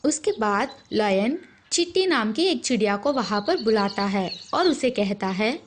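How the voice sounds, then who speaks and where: A woman with a very high voice tells a scripted children's story. She reads slowly, and birdsong noise is clearly audible behind her. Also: expressive.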